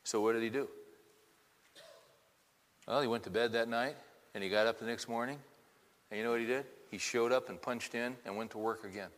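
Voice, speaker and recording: Bright-voiced man preaching in a big hall. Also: medium pitch, steady tone, a little fast, long pauses. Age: 50s. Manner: conversational, asks the crowd many rhetorical questions. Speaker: pastor. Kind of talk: sermon. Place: church hall with congregation. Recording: long reverb of a large hall.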